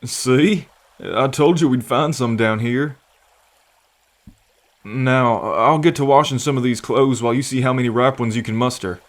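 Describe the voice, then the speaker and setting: A man's voice: mid-range voice, measured pace, natural voice. A man playing a friendly, earnest half-bull man in an ASMR roleplay, talking in a chatty, folksy way. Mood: gentle, concerned. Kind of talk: ASMR roleplay, acted speech to the listener.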